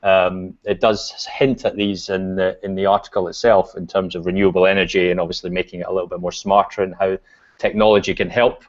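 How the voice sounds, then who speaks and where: A Scottish man talks in a low, easygoing voice, pausing with frequent ums as he thinks aloud. His voice comes through a video call with a dull, lo-fi sound. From Scotland. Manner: relaxed, many fillers and restarts. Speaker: guest. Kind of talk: casual video chat.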